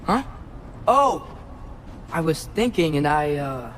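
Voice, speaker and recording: An earnest, higher-voiced teenage hero. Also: male voice, big pitch swings, slow, a bit bright, a little hiss or hum, exaggerated voice. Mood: sheepish. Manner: earnest, youthful, hesitant. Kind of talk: naturalistic video game acting. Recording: music under the voice.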